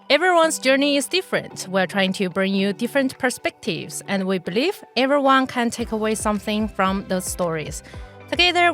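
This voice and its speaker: A woman hosting a podcast, reading a formal introduction and asking guests measured questions. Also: on the high side, fairly bright tone. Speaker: podcast host. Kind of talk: podcast interview, scripted intro.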